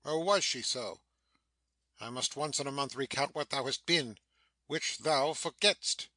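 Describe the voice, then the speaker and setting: A man playing a stern, commanding sorcerer master in a dramatic Shakespeare reading, declamatory and quick to scold. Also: mid-range voice, pushed and bright, no room sound. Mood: scolding anger. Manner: declamatory, imperious.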